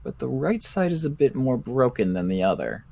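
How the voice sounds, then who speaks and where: A man roleplaying a cautious, analytical doctor in a zombie survival game, speaking in a deliberate, musing, clinical way. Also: mid-range voice, sing-song, creaky, darkish tone. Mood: thoughtful, measured. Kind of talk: improvised Minecraft roleplay.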